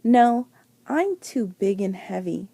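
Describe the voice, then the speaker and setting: A woman's voice: mid-range voice, sing-song, slow pace, gravelly, warm tone, dry recording, full character voice. A woman voicing a self-doubting but willing young schoolgirl in a storybook, speaking earnestly and simply. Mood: dejected.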